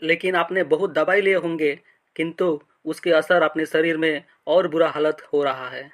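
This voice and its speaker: A man's voice: fairly high pitch, steady tone, normal speed, close to an everyday voice. A man playing a pompous fake guru in a cartoon comedy, speaking in solemn blessings and chants. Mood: solemn, reassuring. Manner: pompous, chanting, repeating devotional catchphrases. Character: fake holy man, pompous male guru feigning meditation.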